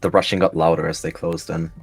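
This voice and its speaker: A man's voice: sits low, flat delivery, fast, creaky, slightly rough, soft background music. A man narrating his part of a scripted roleplay story.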